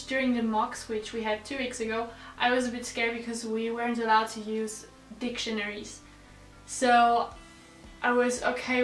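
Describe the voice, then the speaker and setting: A woman's voice: on the high side, a bit bright. A teenage woman from South Tyrol, a native German speaker with a South Tyrolean accent, chatting casually to her viewers as a vlogger. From South Tyrol, Italy. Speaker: vlogger, exchange student. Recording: roomy echo, distant mic, background music.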